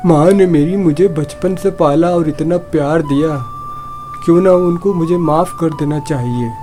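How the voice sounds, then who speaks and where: Man as young village son, mellow timbre, bewildered, earnest, questioning, storybook dialogue, music underneath. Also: a little high, unhurried, close to an everyday voice. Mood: reflective.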